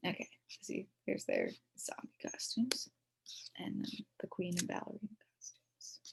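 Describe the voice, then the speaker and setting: A woman reading a children's storybook aloud in an expressive, playful way. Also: fairly low pitch, a little slow, vocal fry, whispered, poor audio. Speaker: story reader.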